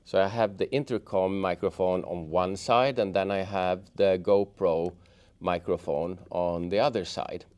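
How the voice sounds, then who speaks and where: A middle-aged man giving a casual hands-on tutorial, explaining step by step in a halting way. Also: sits low, fairly even intonation, darkish tone. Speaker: host of a how-to motorcycle gear channel. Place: indoors, office workshop room at a table.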